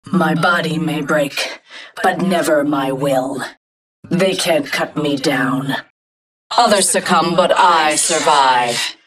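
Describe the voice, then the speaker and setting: An actress playing a proud, cold, unyielding cyborg assassin in a video game. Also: female voice, low-pitched, takes it slowly, fairly bright tone. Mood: defiant, cold.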